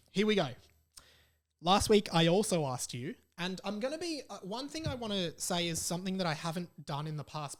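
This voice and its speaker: Aussie guy doing a solo podcast, casual jokey chat, repeats himself for emphasis. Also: on the high side. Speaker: podcast host.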